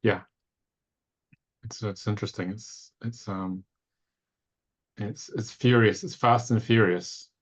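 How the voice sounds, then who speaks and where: Deep, breathy-voiced male instructor, talkative and animated, dull video-call sound. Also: takes it slowly, pauses often. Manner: expressive, talkative, anecdotal. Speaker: course instructor. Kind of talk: casual teaching chat on a video call.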